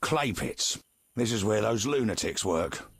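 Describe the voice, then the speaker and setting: An actor voicing a scornful, dismissive local man in a game, with a husky voice and a sneering, mocking tone. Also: fairly low pitch, big pitch swings, measured pace, no echo, heavily acted. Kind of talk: game dialogue.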